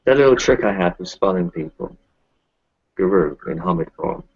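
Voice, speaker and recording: A man playing a weary, kindly doctor in a tabletop roleplay, giving measured, melancholy advice. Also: low-pitched, animated, breathy edge, dry recording, highs cut off, full character voice. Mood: gentle, reassuring. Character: weary, kindly male kinfolk doctor who lost his children. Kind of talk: tabletop roleplay, improvised in character.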